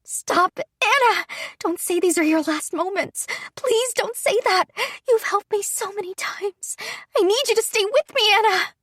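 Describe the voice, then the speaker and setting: A young noblewoman leading soldiers, as a woman reads her part, pleading high and tearful. The sound is close and dry. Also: fairly even intonation, moderate pace, dry recording. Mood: desperate. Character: young noblewoman commander, grieving.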